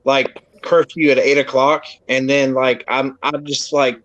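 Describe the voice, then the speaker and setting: A man's voice: mid-range voice, level tone, measured pace, a bit bright, no echo, lo-fi sound. A man in his thirties, from the United States, chatting casually as a co-host and rambling with frequent restarts. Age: 30s. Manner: rambling, hesitant, many restarts. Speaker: co-host of a pet keepers' live show. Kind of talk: casual group chat.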